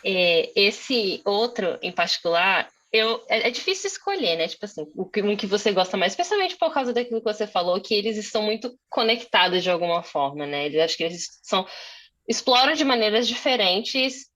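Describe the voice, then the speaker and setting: A woman's voice: medium pitch, expressive, a little fast, a bit bright. A young woman from Brazil moderating a conversation on a video call, thinking aloud with many fillers and restarts. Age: young adult.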